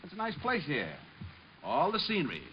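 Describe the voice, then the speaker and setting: A man's voice: a little high, wildly swooping pitch, brisk, a little hiss or hum. An actor playing a cocky, wisecracking private detective in an old-time radio drama, glib and sardonic as he talks and narrates. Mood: cocky, needling. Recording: muffled vintage radio recording with music.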